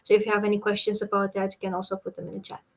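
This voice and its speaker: A young woman from Romania joins a webinar as a student guest. She talks casually and hesitantly, often pausing to search for her words. Her pitch sits in the middle of her range. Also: monotone. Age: young adult.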